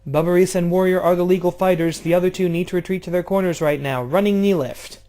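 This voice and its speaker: A man doing casual play-by-play of a video game wrestling match, jumping between loud outbursts and low, offhand, swearing asides. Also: steady tone, quick pace. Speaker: play-by-play commentator.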